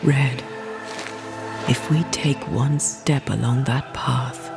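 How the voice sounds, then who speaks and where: An actress playing a solemn ghost woman, a slain ghost-hunter, in a video game cutscene, speaking gravely and often dropping to a hush. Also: a bass voice, fairly even intonation, takes it slowly, over music, full character voice.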